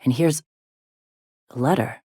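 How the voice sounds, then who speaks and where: A woman voicing a gruff, plainspoken middle-aged frontier medic in a video game, with a blunt, folksy way of talking. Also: very deep voice, takes it slowly, mellow, dry recording, studio quality, exaggerated voice. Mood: businesslike. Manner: folksy, blunt, drawling slang with swearing. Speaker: video game character, medic and deputy leader.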